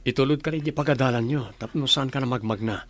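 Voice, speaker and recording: A man's voice: mid-range voice, fast, lightly acted. A man with a Filipino accent playing an earnest, courteous young suitor in a radio drama. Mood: friendly.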